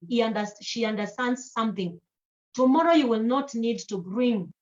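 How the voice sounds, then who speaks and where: A woman from Kenya, explaining earnestly and circling back over her points. Her voice sits somewhat high, and the recording sounds a little muffled and dull. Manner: earnest, repetitive, with many restarts. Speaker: guest speaker. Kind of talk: panel talk answering questions.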